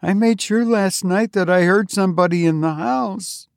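A man's voice: high-pitched, animated, unhurried, a little mellow, dry recording, studio quality, pitched above the performer's own voice. A man voicing a timid, frail woman who speaks fearfully and pleadingly. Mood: anxious.

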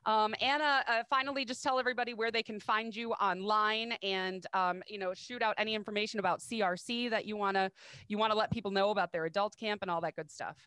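Smooth-voiced chatty woman podcast host. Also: mid-range voice, steady tone, fast, no echo. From US Midwest. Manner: chatty, upbeat, warmly encouraging. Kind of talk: casual podcast chat.

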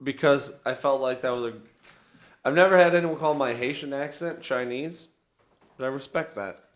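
A man's voice: mid-range voice, moderate pace. A man co-hosting a casual comedy podcast, joking around and slipping into silly mock accents. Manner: jokey, puts on mock accents, loud outbursts. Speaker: podcast co-host.

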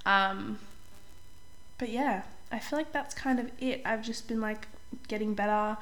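A young woman hosting a casual solo podcast as a life coach, chatty and upbeat, slightly hoarse while getting over a cold. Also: a little high, measured pace, fairly bright tone, poor audio. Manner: chatty, upbeat, says "like" often, clears throat.

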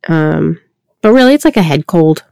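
A woman co-hosting a casual podcast, her voice raspy from a recent cold, chatty and laughing often. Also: low-pitched, expressive, normal speed, mellow, no echo, studio quality. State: recovering from a cold. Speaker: podcast co-host. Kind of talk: casual chat.

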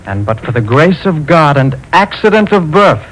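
An actor playing Goodwill, an earnest, stern and kindly spirit who lectures a couple, in a 1940s radio play. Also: male voice, a little high, big pitch swings, normal speed, a bit bright, rough recording. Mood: stern preaching. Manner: earnest, sermonizing, commanding. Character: Goodwill, a wise preachy man, stern and kindly.

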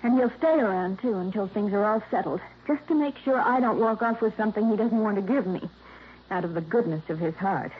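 An actress in her twenties playing a resentful, sharp-tongued young woman in a 1950s radio drama. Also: mid-range voice, brisk, close to an everyday voice. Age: 20s. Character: bitter young woman, niece cut from will. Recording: tinny old radio broadcast, faint hiss.

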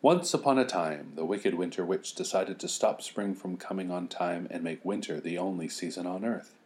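A middle-aged man with a low voice reads a fairy tale to children. His storytelling slips now and then into joking commentary. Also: moderate pace, a little mellow. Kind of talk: animated children's story reading with joking asides.

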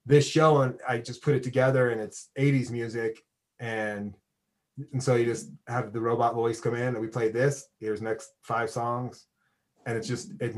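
An American man with a middle-pitched voice hosts a casual podcast. He rambles informally, breaking off and restarting his thoughts like someone talking with an old friend. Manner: informal, rambling, self-interrupting. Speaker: podcast host and radio DJ. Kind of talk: casual chat interview.

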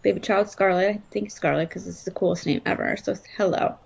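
Hoarse, gravelly woman, chatty co-host. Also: mid-range voice, steady tone, fairly quick, lo-fi sound. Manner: chatty, rambling, frequent fillers. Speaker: podcast co-host. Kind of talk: casual live-stream chat.

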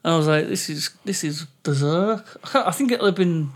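Male co-host, fairly high voice, informal and agreeable in easy chat. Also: animated, brisk. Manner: informal, agreeable listener with frequent backchannels. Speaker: podcast co-host. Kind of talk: casual chat.

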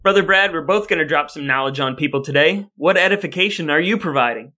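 A man's voice: a little high, fast, bright, forceful tone. A man hosting a podcast with his brother, speaking in wordy, mock-grandiose phrases that move between scripted lines and banter. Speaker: podcast host.

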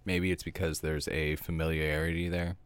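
A soft-spoken young man with a very low, deep voice talks through a podcast chat in a calm, reflective way. The sound is dry and close, with no room around him. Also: normal speed, smooth, no echo. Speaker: podcast co-host. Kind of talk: casual chat.

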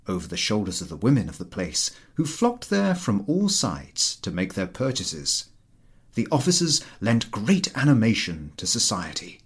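Male narrator for literary fiction audiobook: expressive, lowish pitch, slightly husky, lively intonation. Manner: expressive storytelling delivery. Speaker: audiobook reader.

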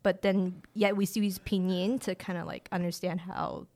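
Interview guest: woman, late twenties, first language a Chinese village tongue, casual and rambling, voice on the low side. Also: moderate pace. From rural China. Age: late 20s. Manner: relaxed and chatty, with frequent "like" fillers and restarts. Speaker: guest, director of family empowerment.

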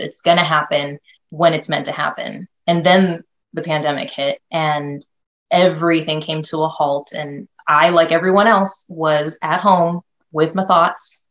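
A woman's voice: low voice, lo-fi sound. A woman from Northern California, a filmmaker being interviewed, telling her story with enthusiasm and frequent restarts. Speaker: guest, filmmaker.

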